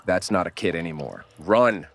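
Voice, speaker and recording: A man playing a gruff, blunt, world-weary survivor mentoring a kid in a horror audio drama. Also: deep voice, animated, normal speed, heavy background noise. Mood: alarmed. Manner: blunt, scolding, urgent.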